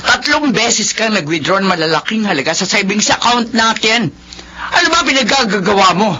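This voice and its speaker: A woman's voice: medium pitch, expressive, normal speed, sharp tone, slightly rough. A woman playing a worried, firm middle-aged wife and mother in a Filipino radio drama, with a Filipino accent and a reproachful, emphatic delivery. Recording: dull lo-fi radio sound, faint noise.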